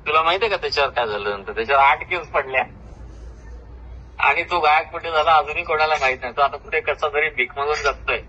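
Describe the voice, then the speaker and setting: A man's voice: on the high side, fast, pushed and bright. An older man with a Marathi accent from Maharashtra, talking boastfully and confrontationally at length on a recorded phone call. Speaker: retired government officer. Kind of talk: heated informal phone conversation.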